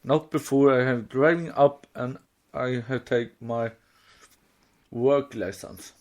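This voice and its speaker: A man's voice: medium pitch, fairly even intonation, takes it slowly. A man with a Swedish accent chatting casually as a podcast co-host, searching for words in non-native English. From Sweden. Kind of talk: casual chat.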